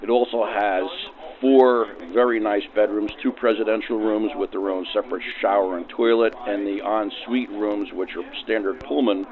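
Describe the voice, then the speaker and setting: A man with a fairly low voice explains things in an interview as a rail car restorer. The sound is thin, like an old radio. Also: steady tone, moderate pace, few pauses, phone-quality sound. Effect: vintage radio filter. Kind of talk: informative interview answers.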